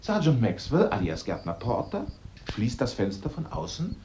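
A man acting a sharp-witted amateur sleuth in a German radio drama, theatrical and assertive as he sets out his case step by step. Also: medium pitch, wildly swooping pitch, moderate pace, slight background noise. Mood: showman-like.

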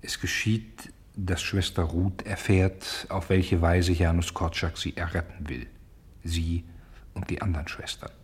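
A man playing a solemn narrator who embodies Time in a radio drama, with a husky voice and a grave, incantatory delivery. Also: deep voice, steady tone, a little slow, heavily acted. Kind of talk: scripted literary narration.

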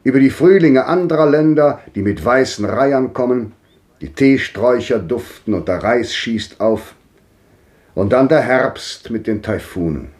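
A man's voice: medium pitch, expressive, measured pace, darkish tone, close to an everyday voice. An actor playing a cultured, world-weary old man who speaks in composed, rhetorical monologue in a 1950s radio drama. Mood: reflective, declamatory. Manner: declamatory, rhetorical phrasing.

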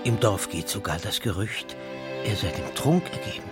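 An actor playing a village chronicler in a radio drama, telling of a sad fate in a deliberate, matter-of-fact way. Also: male voice, lowish voice, sing-song. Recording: faint music under the voice.

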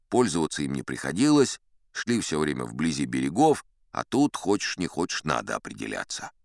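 Deep and richly inflected, this is a pompous old sea captain telling tall tales for an audiobook. He digresses like a self-assured scholar, with big swings in intonation, in a close, dry recording. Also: no room sound. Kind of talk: theatrical audiobook storytelling.

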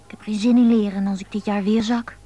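A woman's voice: mid-range voice, level tone, fast, mellow, lightly acted. An actress playing a lonely, impulsive seventeen-year-old schoolgirl confiding over the phone in a radio drama. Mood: hushed, downcast. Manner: impulsive, confiding, swinging between defiant and tender.

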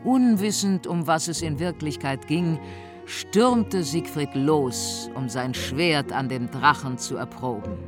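A narrator telling a fantasy radio play in a measured, dramatic storytelling manner. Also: medium pitch. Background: music.

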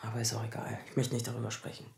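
A woman playing a sharp-tongued, anxious lawyer in her thirties in a naturalistic radio drama, sarcastic and defensive. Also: very deep voice, monotone, racing, creaky, echoey room. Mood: bitter sarcasm. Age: 30s.

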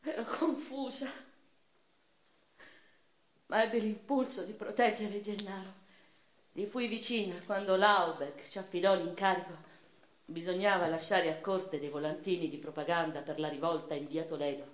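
An actress playing a passionate, anguished noblewoman turned revolutionary, recalling her past in a theatrical radio monologue that moves between hushed and impassioned. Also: fairly low pitch, fairly even intonation, moderate pace, natural voice. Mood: tense, confiding. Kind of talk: dramatic first-person radio theatre narration. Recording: roomy echo with music underneath.

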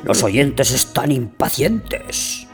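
A man playing a sly, gossiping sprite in a radio drama, measured and conspiratorial. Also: mid-range voice, very animated, creaky, exaggerated voice. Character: sly, gossiping male sprite commenting on the tale. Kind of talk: stage-like radio drama acting. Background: music.

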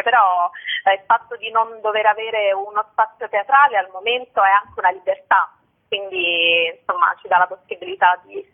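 A woman being interviewed about theatre work, answering conversationally and hesitantly as she searches for words. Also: mid-range voice. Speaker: theatre artist interviewed about her show. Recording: tinny, narrow-band phone line on radio.

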